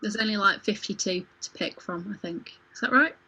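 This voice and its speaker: A woman's voice: medium pitch, brisk, bright, forceful tone, breathy edge, poor audio. A woman running a casual quiz on a livestream as quizmaster, playful and jokey. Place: home video call.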